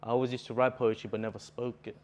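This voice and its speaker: A man's voice: mid-range voice, little pitch movement, fast talker, a little mellow, slight background noise. A young man from Bulgaria, a native Bulgarian speaker, talking earnestly as a poet guest in a casual interview.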